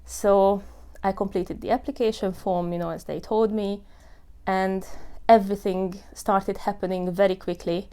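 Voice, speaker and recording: Smooth-voiced woman in her thirties. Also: mid-range voice, steady tone, unhurried, warm tone. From Hungary. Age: 30s. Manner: earnest, with many fillers like "you know" and "uh". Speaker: tenant telling her story. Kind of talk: personal testimony.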